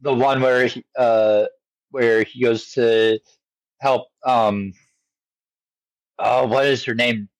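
A man's voice: medium pitch, fairly even intonation, slow, long pauses, no echo, dull audio. A man co-hosting a podcast, chatting casually in a rambling way that doubles back on itself. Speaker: podcast co-host. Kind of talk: casual chat about an anime parody.

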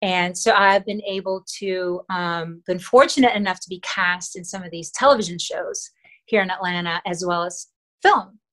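A middle-aged woman from the Philippines whose first language is Tagalog, talking earnestly as an interview guest with fillers and soft laughs. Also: lowish voice, moderate pace, low-quality recording. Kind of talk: casual interview talk.

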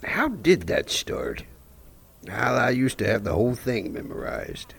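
An actor playing a chatty, folksy, wry gravedigger who narrates an audio play to the listener. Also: male voice, huge pitch swings, measured pace, fairly bright tone, full character voice. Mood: wry, reminiscing. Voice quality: low, gravelly. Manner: chatty, rambling, musing. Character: talkative folksy small-town male gravedigger narrator, wry, unhurried.

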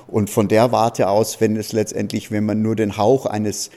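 A man with a fairly low, mellow voice speaks on a conference panel. He rambles and leans on filler words, and his voice rings with the long reverb of a big hall. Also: flat delivery. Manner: rambling, fills pauses with "ja" and "letztendlich". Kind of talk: panel discussion answer. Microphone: handheld mic.

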